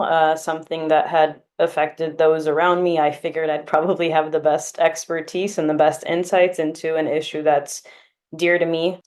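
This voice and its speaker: A woman's voice: low-pitched, a little mellow. A woman in her twenties, a law student from California, answering interview questions in an earnest, explanatory way with occasional fillers. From Glendale, California, US.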